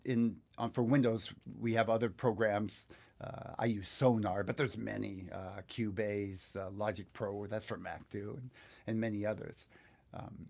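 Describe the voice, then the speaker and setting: A man's voice: mid-range voice, a little mellow. An American man in his sixties teaching on a livestream in a casual, conversational, easygoing way. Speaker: online guitar teacher and livestream host. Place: small indoor home studio.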